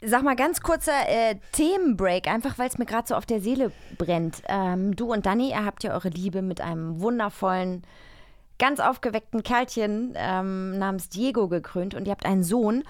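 A woman's voice: mid-range voice, moderate pace, no room sound. A woman co-hosting and interviewing in a casual, curious and teasing way. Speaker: podcast co-host.

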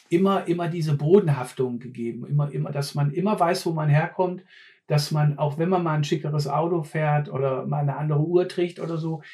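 A man's voice: fairly high pitch, a little mellow. Blunt man in his fifties. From Ruhr area, Germany. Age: 50s. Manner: blunt, repeats words while searching. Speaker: former mine fitter, guest. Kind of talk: casual conversation.

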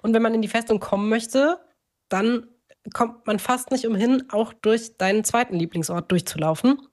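A woman's voice: on the high side, normal speed, a little mellow. A woman co-hosting a podcast in an informal, conversational chat. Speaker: podcast co-host. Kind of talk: casual chat.

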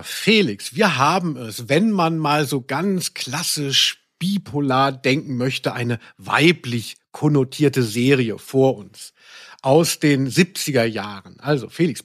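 A middle-aged man co-hosting a podcast in casual, playful, tongue-in-cheek chat. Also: a little high. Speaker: podcast co-host.

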